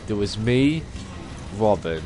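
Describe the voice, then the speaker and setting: A man's voice: fairly low pitch, expressive, slow pace. A young British man telling anecdotes in casual, slangy, bantering chat with a friend. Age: young adult. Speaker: video host telling stories. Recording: music behind the voice. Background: music.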